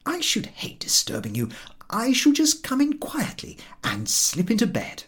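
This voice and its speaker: A man's voice: extremely high pitch, wildly swooping pitch, measured pace, fairly bright tone, slightly rough, pitched above the performer's own voice, heavily acted. A man voicing a genteel, mildly sheepish husband in a storybook reading, speaking with polite hesitation. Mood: hesitant, evasive.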